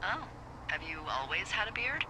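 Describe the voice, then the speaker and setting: A woman's voice: mid-range voice, very animated, moderate pace. A woman playing a wry, teasing fire lookout supervisor in a video game, talking playfully over a walkie-talkie. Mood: flirty, teasing. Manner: playful, sardonic. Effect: walkie-talkie radio. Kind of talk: naturalistic video game voice acting. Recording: radio-filtered voice with clear background noise.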